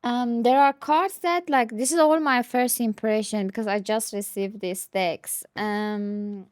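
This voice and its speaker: Slow-talking woman with a higher voice. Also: fairly even intonation, a little mellow, clean tone, no room sound, studio quality. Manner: hesitant, thinking aloud. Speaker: tarot deck reviewer. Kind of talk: casual unscripted show-and-tell.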